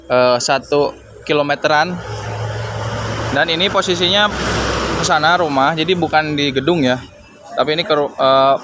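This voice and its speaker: A man giving a casual, explanatory product demonstration as a reviewer. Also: a little high.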